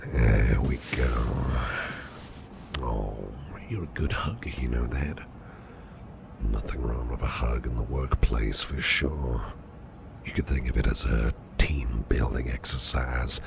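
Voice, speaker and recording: Very deep, gravelly, caring boss played by a man. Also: takes it slowly, noisy background, heavily acted. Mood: tender, reassuring. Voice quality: breathy half-whisper. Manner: soothing, reassuring, affectionate, gently murmured. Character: caring, protective businessman boss comforting an employee. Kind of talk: soft ASMR roleplay, personal attention.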